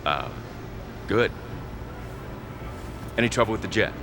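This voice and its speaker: Low-voiced laconic colonel. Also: male voice, lively intonation, measured pace, creaky, noisy background. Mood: easygoing. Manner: understated, laconic. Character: calm laconic American male squadron colonel.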